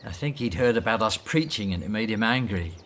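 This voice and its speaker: An actor playing a breezy, flippant male disciple in a radio drama, brisk and dismissive with a theatrical relish for gossip. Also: lowish voice, expressive, fairly bright tone, slight background noise. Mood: dismissive, scornful.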